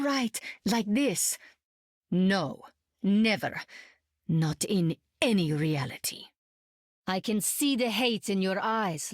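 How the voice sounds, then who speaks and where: A woman's voice: medium pitch, no room sound. A woman voicing a wry, powerful sorceress with a dry, sarcastic manner in a video game.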